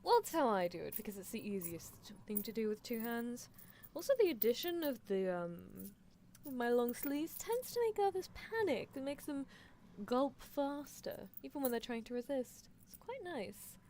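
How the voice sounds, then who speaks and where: A woman's voice: on the high side, very animated, normal speed, mellow. A woman voicing a gleefully unethical horse-girl scientist in an ASMR roleplay, musing in a coolly clinical, teasing manner. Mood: smugly amused. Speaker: streamer performing character roleplay. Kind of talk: ASMR roleplay, improvised character monologue. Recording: clear background noise.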